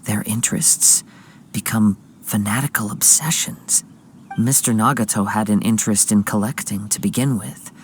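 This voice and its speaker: A man voicing a calm, thoughtful young wandering swordsman in a video game, speaking in a composed, measured, soft-spoken way. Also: fairly low pitch, slight background noise, natural voice.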